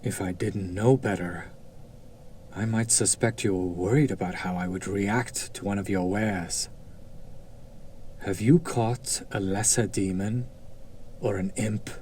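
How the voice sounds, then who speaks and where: A man's voice: fairly low pitch, fairly even intonation, measured pace, long pauses, darkish tone, slight background noise, close to an everyday voice. A man playing a haughty, sardonic demon buyer in an audio drama, drawling with condescending, theatrical skepticism. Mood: suspicious, intrigued. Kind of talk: scripted roleplay audio drama acting.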